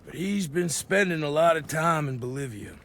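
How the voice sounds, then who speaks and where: An actor playing a menacing, sardonic covert operative in a game, an American who talks with blunt swagger. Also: male voice, on the high side, moderate pace, fairly bright tone, a little hiss or hum. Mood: smug menace. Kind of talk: game cutscene dialogue.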